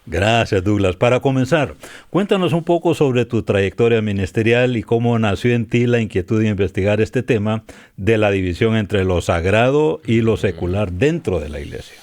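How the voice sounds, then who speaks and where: A man hosting a podcast interview in a friendly, conversational manner with halting restarts. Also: lowish voice, darkish tone. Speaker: podcast host.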